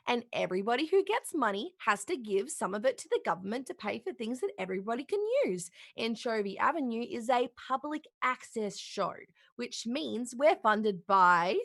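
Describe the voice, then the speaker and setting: Woman playing a high-voiced, gentle kids' show host. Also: very animated, unhurried, no echo, clean studio sound, exaggerated voice. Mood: patient explaining. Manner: patient, explanatory, careful. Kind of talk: children's television host acting, play reading.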